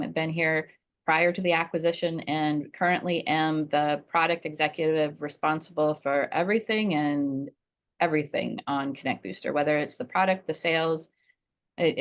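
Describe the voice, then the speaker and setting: A woman with a notably deep voice and a slight Upper Midwest accent introduces herself over a video call. Her talk is plain and self-deprecating. The call audio sounds dull and muffled. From North Dakota. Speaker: product executive.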